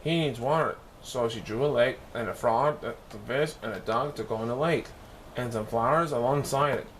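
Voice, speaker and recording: A man with a mid-pitched voice reads a children's story aloud. He narrates as a storyteller. The recording has clear noise behind him. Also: normal speed, fairly bright tone, poor audio. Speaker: storyteller reading a picture book.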